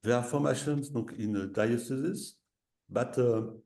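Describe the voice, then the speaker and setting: French-accented guy, slow, halting, lots of uhs, low gravelly voice, echoey room on a call. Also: warm tone. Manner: hesitant, many fillers and restarts, slips into French. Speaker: visiting professor speaking on a webinar. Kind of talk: halting webinar talk in a second language.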